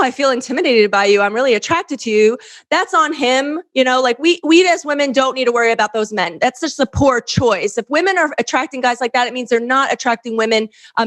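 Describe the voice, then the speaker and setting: A woman's voice: sits high, a bit bright. A woman speaking as a dating coach and interview guest, giving conversational, earnest and emphatic answers that repeat phrases for stress.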